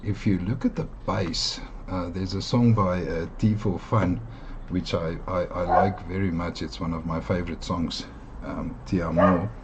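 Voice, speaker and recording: A South African man whose first language is Afrikaans, giving a casual earphone review in a halting way, full of fillers and restarts, with enthusiastic asides. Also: sits low, fairly even intonation, muffled recording, noisy background.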